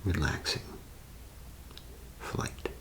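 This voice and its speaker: A man whispering in a breathy, creaky voice, playing a polite, unhurried clerk in an ASMR role play. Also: poor audio. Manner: unhurried, polite customer-service patter.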